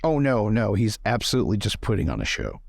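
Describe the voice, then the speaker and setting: A man's voice: lowish voice, wildly swooping pitch, fast talker, vocal fry, no echo, clean studio sound. A man playing a tabletop game, chatting casually about what his character does.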